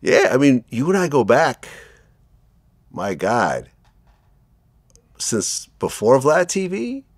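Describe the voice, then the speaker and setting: Man, middle-aged, interview host, animated pitch, conversational, dry sound. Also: slow pace, long pauses, no room sound. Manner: friendly, conversational, leading questions. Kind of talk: casual interview questions.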